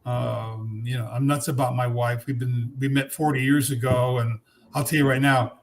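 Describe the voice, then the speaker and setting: A man's voice: mid-range voice, little pitch movement, moderate pace, a little hiss or hum. A man in his seventies, a podcast guest who tells his life story in an easy, reflective way with many fillers. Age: 70s. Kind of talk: casual chat.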